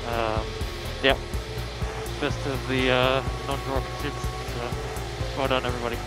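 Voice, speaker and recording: A man's voice: mid-range voice, little pitch movement, unhurried, rough recording. A man, a racing driver, talking casually and briefly over team radio. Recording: car radio with engine noise and music.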